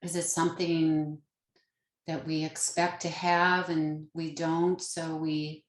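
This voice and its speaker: A woman leading a guided meditation as a teacher, speaking gently in short, unhurried phrases with pauses between them. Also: deep voice, level tone. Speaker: yoga nidra meditation teacher. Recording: roomy, echoing sound.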